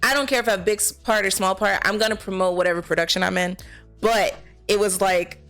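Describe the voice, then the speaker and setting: A woman speaks as an actress being interviewed. Her pitch sits in the middle for a woman. She talks casually and chattily, and light music plays faintly behind her. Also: steady tone, fast talker, a bit bright. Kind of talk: casual chat.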